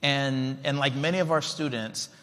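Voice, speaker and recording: A man's voice: mid-range voice, steady tone, normal speed, bright, forceful tone, big-room sound. A man in his forties who grew up in Liberia, giving a conversational keynote speech and often checking in with his audience. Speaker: keynote speaker. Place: large conference hall.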